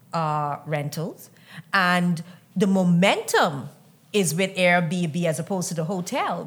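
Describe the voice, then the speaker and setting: A woman moderating a panel discussion, speaking in a measured, deliberate and emphatic way. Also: fairly low pitch.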